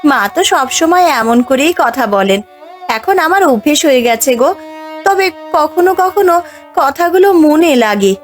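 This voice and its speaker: A woman playing a meek, long-suffering young village wife in a cartoon folk tale, patient, gentle and plaintive. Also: high voice, lively intonation, measured pace, soft background music. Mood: patient, tender. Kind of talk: Bengali cartoon fairy-tale voice acting.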